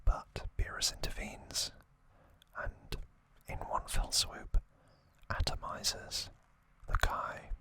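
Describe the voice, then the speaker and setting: An ASMR host narrating in a breathy, unvoiced whisper, slow and unhurried, close to the microphone. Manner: slow, unhurried, gently repeats words for effect. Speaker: host of an ASMR trivia channel. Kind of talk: whispered ASMR narration, summarizing an anime saga.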